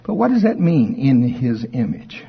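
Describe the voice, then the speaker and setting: A man preaching in an informal Bible study, conversational and folksy, slowing with deliberate emphasis as scripture is read aloud. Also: medium pitch, sing-song, vocal fry, breathy edge, lo-fi sound. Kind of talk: informal Bible teaching to a congregation, reading verses aloud. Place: speaking live before a congregation.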